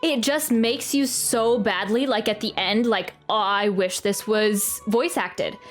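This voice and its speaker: A woman livestreamer giving a casual reaction to a video game, chatty and halting as she thinks aloud. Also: mid-range voice. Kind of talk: casual live reaction commentary. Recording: game music under the voice.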